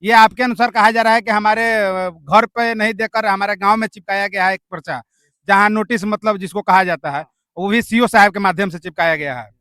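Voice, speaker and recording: A man's voice: sits high, fairly even intonation, brisk, sharp tone, no echo. A man with a Bihari accent doing on-location interviews and commentary to camera, repeating himself and searching for words with fillers. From India. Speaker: field news reporter.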